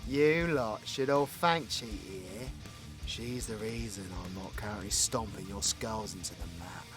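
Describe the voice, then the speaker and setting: A man's voice: on the low side, a little slow, over loud music, close to an everyday voice. A British man playing a cocky, foul-mouthed, brawling supervillain in an audio roleplay, mocking and crude. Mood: grudging menace. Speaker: voice actor.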